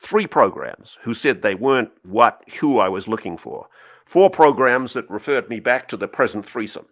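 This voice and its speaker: A man's voice: mid-range voice, lively intonation, normal speed, a bit bright, no room sound. Guarded admiral, read by a man, telegraphic and dramatic, varied intonation, close dry recording. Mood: recounting. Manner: clipped, telegraphic phrasing. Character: guarded senior admiral recounting secrets in clipped phrases. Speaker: co-host reading a part. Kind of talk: dramatic cold reading of a memo transcript.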